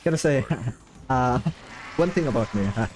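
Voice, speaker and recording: A man's voice: mid-range voice, animated, moderate pace, a little mellow, slight background noise. A man, not a native English speaker, chatting casually while streaming a game, rambling with lots of fillers and little laughs. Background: game music.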